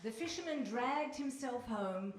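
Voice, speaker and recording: A woman's voice: on the high side, animated, a little slow. A woman narrating a scripted fairy-tale radio play live on stage. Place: live stage in a hall with audience. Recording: echoey hall, clearly noisy.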